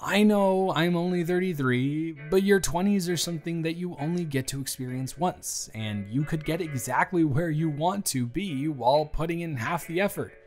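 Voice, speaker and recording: A man's voice: on the high side, normal speed, hardly any pauses, close to an everyday voice. A man playing a fond, chatty office boss in his early thirties in a romantic audio roleplay, speaking earnestly and playfully straight to the listener. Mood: sincere, encouraging. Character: warm, earnest thirty-three-year-old male office boss, fond and encouraging. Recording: background music. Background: music.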